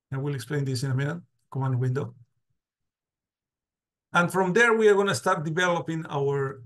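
A man's voice: a little high, a bit bright. A man lecturing a class on a video call, restarting words and often checking "got it?". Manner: many restarts, asks "got it?" and "do you agree?" often. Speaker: university lecturer.

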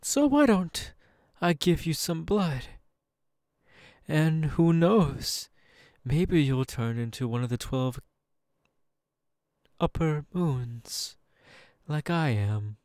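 A man voicing a suave, falsely sympathetic demon in a theatrical ASMR roleplay, smug and genteel with drawn-out pauses. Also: on the high side, lively intonation, a little mellow, no echo, studio quality. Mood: coaxing.

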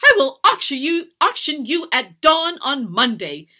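A woman voicing a haughty, cruel mistress of a household in a storybook reading, imperious and given to shrill, emphatic outbursts. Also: very animated, unhurried, bright, forceful tone, heavily acted. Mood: furious. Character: haughty, cruel, raging slave-owning mistress.

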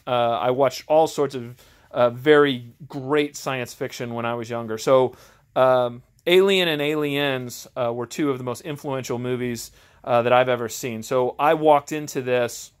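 A middle-aged man with an American accent chats off the cuff as a game reviewer, rambling and self-deprecating. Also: mid-range voice. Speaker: host, tabletop game reviewer. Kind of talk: unscripted casual review chat. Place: home game garage.

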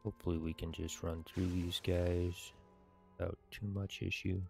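A man in his thirties with a low, creaky voice hosts a gameplay video. He reads and comments in a halting, unhurried way, with little rise or fall in his tone. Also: little pitch movement, faint music behind. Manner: measured, halting reading. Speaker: gaming video host reading quests aloud. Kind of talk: reading game text aloud, casual gameplay commentary. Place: indoors at a computer.

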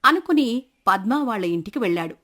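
High-voiced woman storyteller. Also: animated, a little fast, sharp tone. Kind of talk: scripted story narration.

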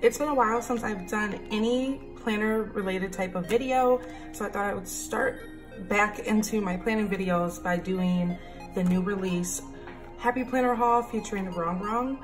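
A woman in her thirties talks in a raspy, slightly deep morning voice, chatty and excited as she shows off her purchases. She sounds close, as if at a table at home under an overhead camera. Soft music plays faintly beneath her. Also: moderate pace. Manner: chatty, enthusiastic. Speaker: planner vlogger. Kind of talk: casual haul and flip-through.

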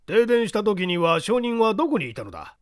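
A stern judge, played by a male reader, fires probing questions in a commanding, raised voice. His delivery swings widely in pitch, in a dry audiobook recording. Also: big pitch swings, brisk, no echo. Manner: commanding, interrogating. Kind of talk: dramatic audiobook reading.

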